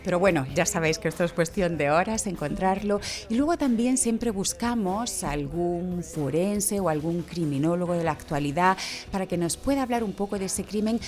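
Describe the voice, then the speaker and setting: Lower-pitched woman from Spain explaining. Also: animated, moderate pace, a little mellow. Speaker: journalist and podcast guest. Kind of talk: explanatory interview answers.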